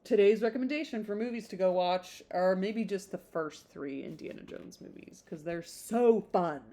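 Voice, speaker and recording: A woman's voice: mid-range voice, normal speed, mellow. A woman podcast host reading a classic novel aloud in an informal way, with chatty, conversational asides. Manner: conversational, rambling off on tangents in asides.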